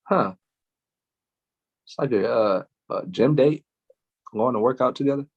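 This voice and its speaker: A man's voice: medium pitch, measured pace, breathy edge, highs cut off. A man co-hosting a casual astrology chat, laid-back, with frequent laughs and lots of fillers. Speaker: astrology show co-host.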